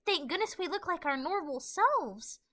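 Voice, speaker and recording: A woman acts out a studious young pony princess from a cartoon. Her voice is high and lively, with an earnest, wondering manner. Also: normal speed, full character voice. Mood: relieved, curious. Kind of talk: fan fiction audio play.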